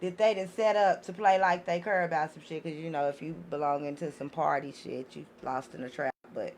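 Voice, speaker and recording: A woman's voice: sits low, fairly even intonation, brisk, slight background noise. A woman talking as a podcast guest in an impassioned, casual way, blunt, emphatic and given to swearing. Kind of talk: impassioned casual opinion talk.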